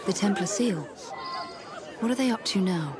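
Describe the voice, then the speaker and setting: An actress playing a brisk, determined young British investigator in a video game cutscene. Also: female voice, mid-range voice, lively intonation, close to an everyday voice. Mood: curious, polite. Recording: busy crowd and street noise behind.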